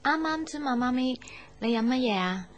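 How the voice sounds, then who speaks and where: A woman playing a gentle, affectionate young daughter in a radio drama, speaking conversational Hong Kong Cantonese. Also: high voice, animated, moderate pace, highs cut off. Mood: affectionate, chatty. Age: young adult. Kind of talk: radio drama monologue and dialogue.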